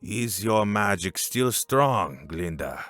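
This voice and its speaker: A man playing a formal, authoritative elder leader in an animated fan dub, solemn and measured. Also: on the low side, dry recording, soft background music. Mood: grave authority.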